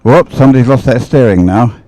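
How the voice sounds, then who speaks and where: A man's voice: medium pitch, fairly quick, warm tone, no room sound, rough recording. A man giving live, off-the-cuff race commentary in a conversational, matter-of-fact way.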